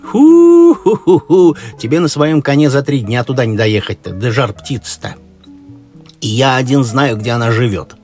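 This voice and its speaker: A man's voice: mid-range voice, big pitch swings, normal speed, a little mellow, voice pushed up, lightly acted. A man voicing a loyal grey wolf from a fairy tale, folksy and instructing. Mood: boastful, loyal. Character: gruff, helpful grey wolf, commanding and sympathetic. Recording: music behind the voice.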